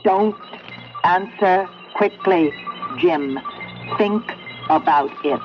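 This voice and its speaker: Cold sinister computer voice, real slow and commanding, old muffled radio play. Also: female voice, fairly low pitch, soft background music. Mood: menacing persuasion. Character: sinister, coldly persuasive sentient metal computer demanding obedience. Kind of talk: 1970s radio drama acting. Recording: muffled 1970s radio drama recording.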